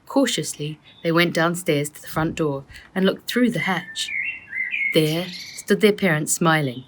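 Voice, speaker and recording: A woman author reading her children's storybook aloud as an expressive narrator. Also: low-pitched, measured pace. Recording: faint noise and clicks.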